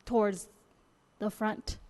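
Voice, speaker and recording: A woman on a city council giving her comments at a public meeting, measured and plain, with some fillers. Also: mid-range voice, fairly even intonation, darkish tone. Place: council chamber.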